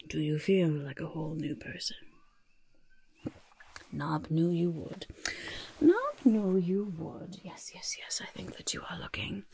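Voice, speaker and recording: A woman voicing a fussy, kindly goblin disguise master in a whispery, breathy, coaxing ASMR roleplay, close to the microphone. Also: on the low side, dry recording. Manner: coaxing, gentle, hesitant asides, repeated words. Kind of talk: soft-spoken ASMR roleplay.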